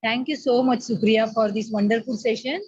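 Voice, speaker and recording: A woman with an Indian accent and a husky, fairly high voice speaks informally as a session organiser. The sound is dull and dry, as from an online call. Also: steady tone, moderate pace, darkish tone, no room sound. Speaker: organiser thanking the guest speaker.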